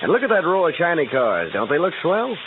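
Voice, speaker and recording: A man plays a friendly-talking American garage mechanic in an old radio ad. His folksy, enthusiastic delivery is very animated at a middle pitch, over a tinny recording with clear hiss. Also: brisk. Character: folksy, enthusiastic male garage mechanic selling car polish. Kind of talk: vintage radio ad.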